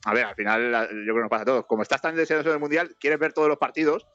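A man's voice: medium pitch, flat delivery, rapid-fire, fairly bright tone, dry recording, lo-fi sound, soft background music. A man from Spain with a Spanish accent, chatting casually and colloquially as a football commentator, often repeating himself. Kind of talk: casual match chat and live commentary.

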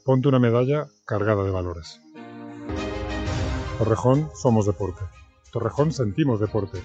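A man's voice: fairly low pitch, lively intonation, a little slow, dull audio, music in the background. A man delivering a scripted promotional voice-over in emphatic slogans.

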